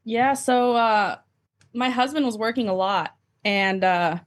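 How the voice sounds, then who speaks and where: A woman's voice: fairly high pitch, steady tone, measured pace. A woman guesting on a podcast, answering questions in an informal, conversational way. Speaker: podcast guest, amateur athlete. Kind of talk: casual interview answers.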